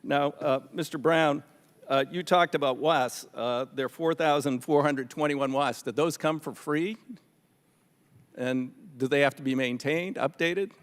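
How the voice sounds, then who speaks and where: Skeptical American man in a reverberant hearing room. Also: a little high. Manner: halting, skeptical, pressing. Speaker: legislator questioning witnesses at a hearing. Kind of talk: off-the-cuff questioning and argument at a legislative hearing. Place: large indoor hearing room.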